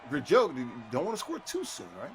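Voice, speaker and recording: A middle-aged man doing play-by-play commentary on a football video game tournament, his voice jumping up with excitement on big plays. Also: fairly high pitch, quick pace. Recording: faint background noise.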